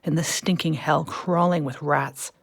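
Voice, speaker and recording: Jailed teacher, audio drama: low register, wary, defiant, urgent undertone. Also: female voice, steady tone, a little slow, creaky, slightly rough, no echo, studio quality, full character voice. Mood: bitter, defiant. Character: defiant imprisoned female teacher, wary and weary. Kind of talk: audio play dialogue.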